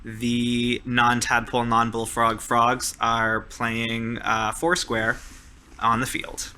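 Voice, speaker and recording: Bright-voiced man improvising game narration. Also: mid-range voice, monotone, slow, clean tone. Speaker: player narrating a tabletop roleplaying game.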